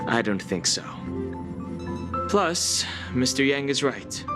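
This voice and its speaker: A man voicing a calm, sensible young companion in a video game, speaking with dry composure. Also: medium pitch. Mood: calm, lightly amused. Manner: measured, composed. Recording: music behind the voice.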